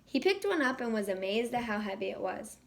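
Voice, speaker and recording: A woman reading a children's picture book aloud in an expressive, animated way. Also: a little high, a little fast, creaky. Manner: animated, acts out dialogue. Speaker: children's read-aloud storyteller.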